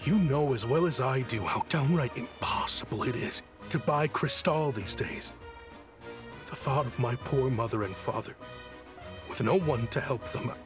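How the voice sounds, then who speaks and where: An actor playing a smooth, contrite town liaison in a video game, with a pleading, theatrical manner. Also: male voice, medium pitch, moderate pace, over music, natural voice.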